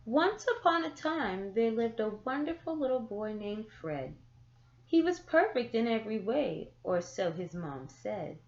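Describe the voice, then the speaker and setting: Echoey, unhurried woman reading a storybook. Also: a little high, very animated. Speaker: storyteller reading a picture book aloud. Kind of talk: children's story read-aloud. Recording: echoey room, slightly muffled sound.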